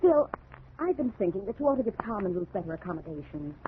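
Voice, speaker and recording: A woman's voice: mid-range voice, big pitch swings, fast talker, phone-quality sound, natural voice. An actress playing a concerned, reproachful wife who stands her ground in an old-time radio drama, earnest and dramatic, on a thin, noisy vintage recording.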